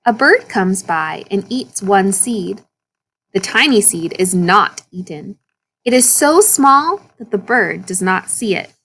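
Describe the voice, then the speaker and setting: Slow-reading woman telling a children's story. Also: mid-range voice, husky, low-quality recording. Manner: simple, expressive storytelling for young children. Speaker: story reader. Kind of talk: slow read-aloud of a children's picture book.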